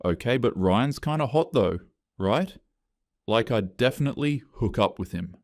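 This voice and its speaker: A man's voice: medium pitch, lively intonation, normal speed, darkish tone, no echo, clean studio sound, close to an everyday voice. A man voicing a gossiping young office woman with a catty, giggly manner.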